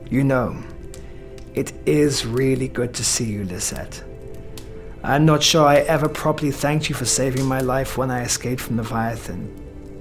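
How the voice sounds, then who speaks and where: A man acts a suave, older paternal figure in an audio drama, secretive yet tender. He speaks in measured, formal, affectionate phrases. Music plays under his voice. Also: medium pitch, lightly acted. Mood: smooth, businesslike.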